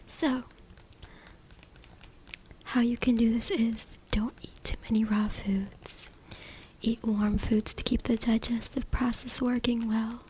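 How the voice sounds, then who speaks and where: A woman reading aloud in a soft, hushed, deliberate ASMR whisper, close to a USB microphone. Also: on the high side, flat delivery. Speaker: ASMR creator reading from a book. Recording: noisy close USB mic with clicking. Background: noise, tapping and clicking sounds.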